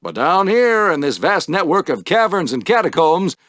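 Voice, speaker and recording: An exuberant sci-fi race announcer for a video game. The voice sits on the high side for a male and swings dramatically up and down, with showy, theatrical flair and a wry edge. The sound is dry and close, like a studio booth. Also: big pitch swings, moderate pace, fairly bright tone, no echo. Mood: showy, scene-setting. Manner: showy, dramatic broadcaster delivery. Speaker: sports announcer.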